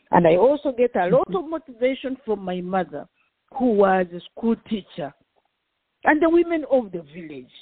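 A high-voiced woman in her sixties with a Ugandan accent, talking slowly and deliberately, pausing on fillers. She comes through a narrow, tinny phone line with no room sound. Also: husky, dry recording. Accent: Ugandan, with some American Midwest. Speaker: guest, professor and pastor. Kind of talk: radio interview, self-introduction.

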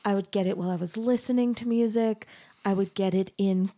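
A woman with a mid-range voice hosts a casual interview. She asks her questions openly and keeps up a stream of quick, encouraging affirmations. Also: mid-range voice, fairly even intonation, warm tone. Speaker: podcast host and music teacher.

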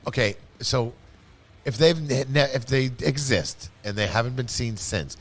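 A man in his sixties hosting a podcast, excitable in casual chat, swearing freely and laughing easily. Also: medium pitch, unhurried, faint music behind. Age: 60s. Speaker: podcast host.

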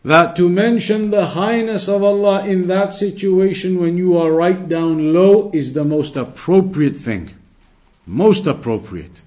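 Higher-voiced man giving an Islamic lesson. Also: a little mellow. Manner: didactic, deliberate, repeats key phrases for emphasis. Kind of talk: religious lecture, explaining Arabic texts in English.

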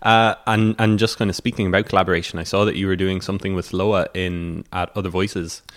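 A man's voice: low voice, flat delivery, fairly quick. A man hosting a podcast, asking casual questions in a chatty, curious way. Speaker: podcast host.

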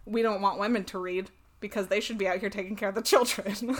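A woman's voice: medium pitch, steady tone, a little fast. Young American woman, casual podcast co-host, giggly and profane. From United States. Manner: laughs often, swears freely. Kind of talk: casual chat.

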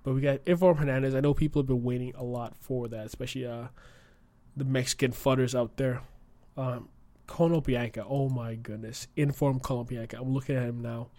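Croaky young man with a cold. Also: mid-range voice, steady tone, fairly quick, warm tone, dry recording. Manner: chatty, rambling, lots of fillers. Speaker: podcast host and streamer. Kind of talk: casual solo podcast.

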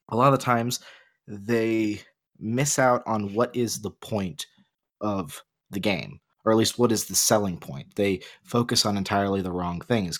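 A man co-hosting a casual podcast discussion, arguing and explaining his points, his voice a bit off from being sick. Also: lowish voice. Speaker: podcast co-host.

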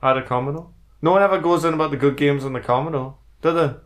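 A man's voice: fairly high pitch, rapid-fire. A man co-hosting a podcast in casual, opinionated conversation with some offhand swearing. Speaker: podcast co-host. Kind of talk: casual chat about retro video games.

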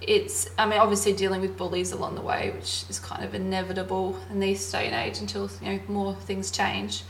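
An Australian woman with a slight lisp chats casually as a podcast co-host, giggly and thinking out loud. Her voice sits at an ordinary middle pitch. The recording is roomy and echoey, with faint ambient hiss behind. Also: flat delivery, a little fast, vocal fry, hardly any pauses. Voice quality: slightly lispy. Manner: chatty, giggly, thinking aloud.

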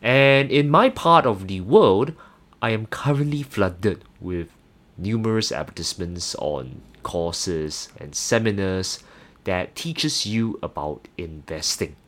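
A young man with a Singaporean accent hosting a solo personal finance podcast, earnest and measured, with occasional hesitant fillers. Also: lowish voice, lively intonation, fairly bright tone. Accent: Singaporean English. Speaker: podcast host.

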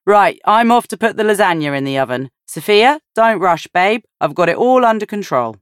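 A woman's voice: mid-range voice, expressive, moderate pace, clear, resonant tone, no echo, studio quality, voice pushed up, lightly acted. A woman voicing a cheerful, affectionate young husband and father in an audiobook, breezy and teasing. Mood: playfully bossy.

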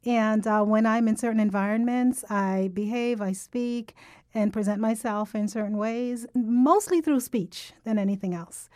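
A woman's voice: on the high side, measured pace, dry recording, studio quality. Upbeat woman co-hosting a podcast. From Jamaica. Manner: upbeat, lively, personable. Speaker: podcast co-host. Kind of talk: casual podcast conversation.

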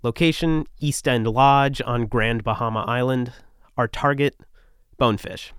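A man in his twenties, a podcast host, narrating a scripted story in a measured way. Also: mid-range voice, fairly bright tone, clean tone, no room sound, studio quality. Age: 20s.

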